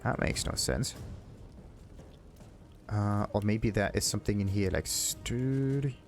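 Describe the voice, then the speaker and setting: Man, fairly low pitch, casual gameplay commentary, thinking aloud, muttering, game music behind. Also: slow pace, a little mellow. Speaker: gaming video host. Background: video game music.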